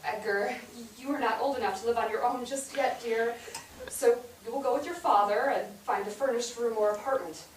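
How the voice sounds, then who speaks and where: A woman on stage playing a weary mother who gently but firmly explains hard news to her sons. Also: a little high, fairly quick, lightly acted. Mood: gentle, explaining. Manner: gentle but firm, matter-of-fact maternal explaining. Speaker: stage actor. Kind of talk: staged reading of a period family drama. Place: indoor theatre stage, recorded from afar. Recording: roomy theatre, distant mic, hissy background.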